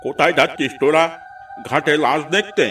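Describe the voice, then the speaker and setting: A man's voice: on the high side, a little fast, natural voice. A man voicing a blunt, grumbling older village man in a cartoon. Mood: brusque. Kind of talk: cartoon voice acting. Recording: slightly distant, music behind.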